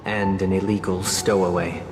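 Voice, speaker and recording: A man's voice: low-pitched, little pitch movement, close to an everyday voice. Man acting solemn, courteous storyteller in echoing hall. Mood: measured, formal. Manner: formal, measured, theatrical. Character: solemn, courteous, well-spoken man telling tragic tales. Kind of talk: scripted dramatic game monologue. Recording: echoey hall, loud game music behind.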